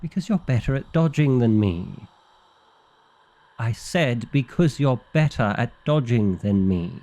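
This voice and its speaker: A man's voice: medium pitch, lively intonation, unhurried, warm tone. A man playing a fussy, exasperated vampire librarian in an ASMR roleplay, with an indignant, officious and dryly comic delivery. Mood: frantic.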